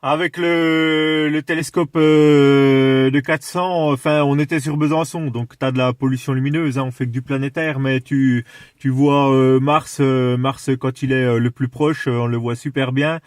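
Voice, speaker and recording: A man in a casual radio chat, explaining things with enthusiasm while haltingly searching for his words. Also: mid-range voice, steady tone, no room sound, clean studio sound. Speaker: amateur radio operator.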